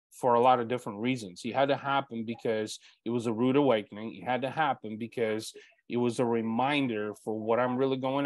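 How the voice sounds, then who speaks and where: A man's voice: medium pitch, no echo. A man in his forties with a Bulgarian mother tongue, hosting an interview and telling his story earnestly, full of "you know" fillers, over earbuds on a video call. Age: 40s. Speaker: podcast host.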